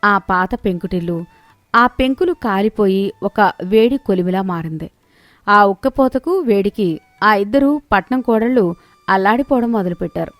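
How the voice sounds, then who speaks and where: A woman's voice: medium pitch, expressive, moderate pace, no echo, faint music behind. A woman, reading a scripted moral tale as a storyteller.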